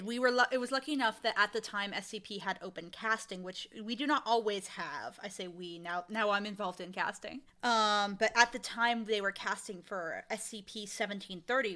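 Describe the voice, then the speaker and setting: A woman in her twenties chatting casually as an interview guest, candid and animated, with self-deprecating storytelling. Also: mid-range voice, moderate pace, few pauses. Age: 20s. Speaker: audio drama showrunner, interview guest.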